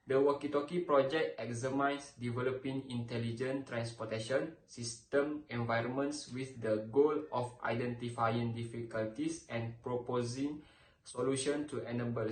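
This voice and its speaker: A young man, a student presenter, reading a scripted project presentation formally and carefully, stumbling on the odd long word. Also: mid-range voice. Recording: echoey room sound.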